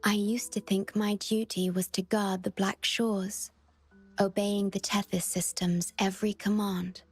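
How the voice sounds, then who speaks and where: A soft-voiced young woman guardian from a video game. She speaks slowly and tenderly, with solemn earnestness at a middle pitch. Music plays behind her. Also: level tone, husky. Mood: tender, wistful.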